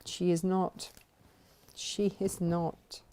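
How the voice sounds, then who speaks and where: A woman's voice: lowish voice, flat delivery, extremely slow, mellow, slight background noise. A woman in easy, chatty conversation on a crafting show. She tells small stories with playful energy and laughs often between lines. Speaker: co-host. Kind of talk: casual chat on a crafting show.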